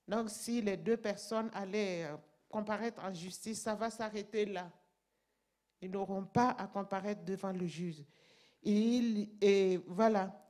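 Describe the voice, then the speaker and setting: Woman preacher, non-native French speaker, slow deliberate delivery, emphatic sermon. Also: mid-range voice. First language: not French. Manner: slow, emphatic, rising to loud passages. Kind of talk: sermon with Bible reading.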